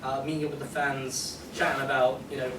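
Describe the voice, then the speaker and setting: A man's voice: mid-range voice, level tone, brisk. A young British man chatting informally on a panel in a jokey, self-deprecating way. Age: young adult. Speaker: convention panelist, amateur animator. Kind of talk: casual unscripted panel chat. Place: convention panel room, indoors. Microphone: distant camera microphone. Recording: echoey, noisy room, distant microphone.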